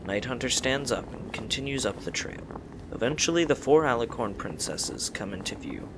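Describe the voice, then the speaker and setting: A man's voice: medium pitch, animated, normal speed, background noise. A man reading scripted story narration for an audio drama.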